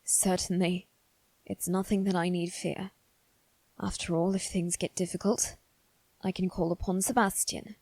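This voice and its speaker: A woman voicing a haughty, anxious young noble boy in a halting, formal monologue for dramatic ASMR roleplay. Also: on the low side, flat delivery, a little mellow, no echo, low-quality recording. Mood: uneasy pondering. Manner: halting, formal, self-reassuring delivery.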